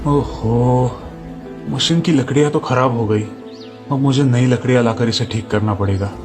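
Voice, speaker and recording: A man's voice: medium pitch, normal speed, pitched below the performer's own voice, lightly acted. A man voicing a humble, earnest village weaver with a deferential way of talking, music behind him. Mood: musing. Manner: pleading, deferential. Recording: music under the voice.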